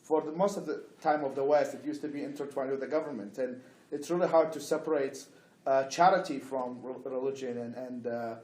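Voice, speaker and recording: A man speaking on a panel in a halting way, searching for his words. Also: medium pitch, darkish tone. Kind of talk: panel discussion. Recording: echoey room.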